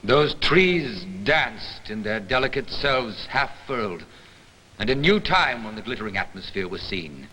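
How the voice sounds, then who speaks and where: Bright, forceful man declaiming verse in a hall. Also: mid-range voice, very animated, a little slow. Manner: theatrical. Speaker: actor giving a staged reading. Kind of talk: dramatic poetry recitation. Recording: faintly noisy hall, 1960s broadcast.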